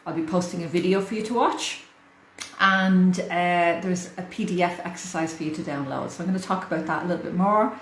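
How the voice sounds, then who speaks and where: A woman in her forties with an Irish accent, hosting a casual livestream, chatty and laughing often. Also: mid-range voice. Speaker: host. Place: hot room in a house. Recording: echoey room with faint fan noise. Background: fan running.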